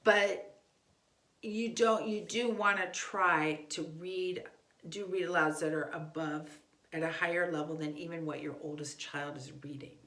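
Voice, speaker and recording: Female homeschool parent, lower-pitched, casual conversational teaching style, recorded in a reverberant room. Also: fairly even intonation, unhurried, a bit bright. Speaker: homeschooling parent giving advice. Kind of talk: casual instructional talk. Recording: echoey room.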